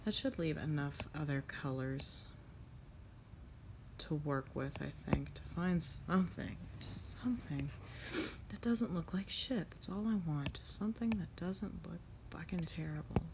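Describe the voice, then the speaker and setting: A woman's voice: low voice, animated, measured pace, creaky, noisy background. A young woman artist chatting casually while she draws, self-critical and swearing under her breath, sniffling from a cold. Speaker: comic artist. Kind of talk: casual narration over a drawing session.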